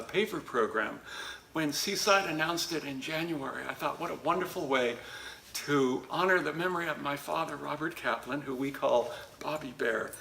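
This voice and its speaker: Bright, gravelly-voiced man giving a testimonial. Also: on the high side. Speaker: church member giving a testimonial. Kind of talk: prepared testimonial video. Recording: echoey room with faint noise.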